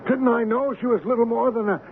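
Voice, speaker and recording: A man playing a guilt-ridden, desperate old ship captain in an old radio drama, halting and repeating himself in anguish. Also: very high voice, fairly even intonation. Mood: bitter self-reproach. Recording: noisy tinny old radio drama recording.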